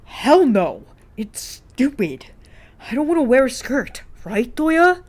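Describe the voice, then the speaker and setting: A woman's voice: high voice, sing-song, slow pace, pitched above the performer's own voice, heavily acted. A woman voicing a grumpy, easily outraged teenage boy in a story reading, complaining in an exasperated way. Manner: loud, exasperated, dragged-out complaining.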